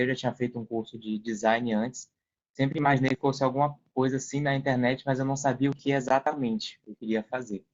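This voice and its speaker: A man's voice: mid-range voice, darkish tone. A young Brazilian man recounting his own experience casually as a podcast guest. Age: young adult. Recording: dull lo-fi sound.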